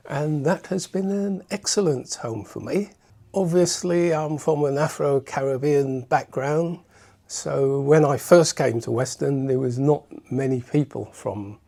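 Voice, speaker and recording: A man with a mellow, warm-toned voice who speaks in a composed, steady way. He talks through a personal account at an easy, even pace. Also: medium pitch. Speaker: local resident, community member.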